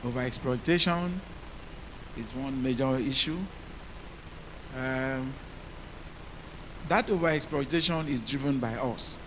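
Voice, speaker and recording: Deep-voiced man, hissy conference mic. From Nigeria. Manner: measured, rhetorical questions to the audience. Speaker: policymaker on a conference panel. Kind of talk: panel talk.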